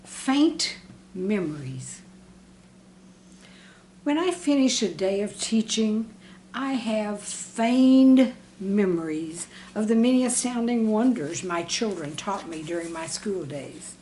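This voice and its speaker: A woman reads humorous, scripted stories from her life as a teacher. Her pace is slow and deliberate, with a medium pitch for a woman. There is noticeable background noise in the recording. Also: lively intonation, dark tone. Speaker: teacher reading own humorous classroom anecdotes.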